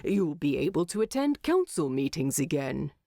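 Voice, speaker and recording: A woman voicing a gruff, concerned dwarf king in a storybook narration, terse and guarded, teasing with a straight face. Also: deep voice, very animated, moderate pace, warm tone, no echo, studio quality, voice pushed down, heavily acted. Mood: terse relief. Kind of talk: fan fiction narration with character voices.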